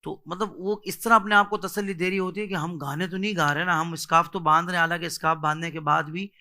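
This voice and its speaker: A man's voice: on the high side, steady tone, fast talker, pushed and bright. A man hosting a respectful religious interview, deferential in manner and given to devout interjections. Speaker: host interviewing a religious scholar.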